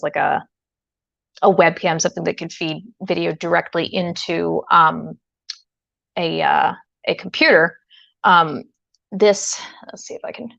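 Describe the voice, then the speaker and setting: A woman's voice: lowish voice, vocal fry, no echo, highs cut off. A woman livestreamer chatting casually while tinkering, in a hesitant, rambling way with playful asides. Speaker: livestreamer, host.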